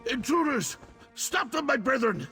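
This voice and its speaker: An actor playing a cowardly, frightened cultist nobleman in a video game, stammering and pleading in a husky voice. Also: very high voice, lively intonation, measured pace, bright, forceful tone, faint music behind, exaggerated voice. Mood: alarmed, commanding.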